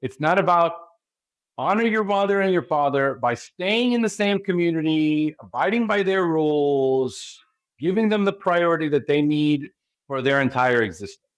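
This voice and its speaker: A man's voice: on the high side, lively intonation, normal speed, smooth, no echo. American guy being interviewed, punchy rhetorical questions, keeps saying okay, dry close mic. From California. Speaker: spiritual guide, guest. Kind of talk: interview talk.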